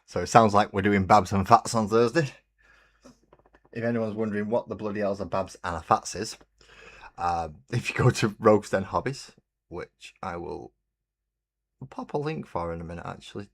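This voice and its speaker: A man hosting a relaxed hobby livestream, chatting in a rambling way and greeting viewers while he paints. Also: deep voice. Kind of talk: casual chat while painting. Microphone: desk mic on a webcam stream.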